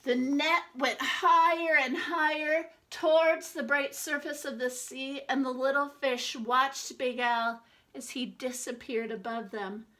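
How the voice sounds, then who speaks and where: A woman reading a children's picture book aloud like a teacher, expressively, with deliberate dramatic emphasis. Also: high-pitched, fairly even intonation, a bit bright.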